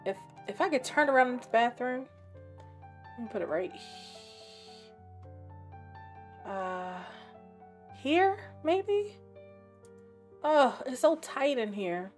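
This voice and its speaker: A woman with a raspy voice and a sore, scratchy throat, chatting casually while narrating her gameplay and thinking aloud. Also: high-pitched, big pitch swings, slow pace, long pauses, darkish tone, faint music behind. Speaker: game streamer.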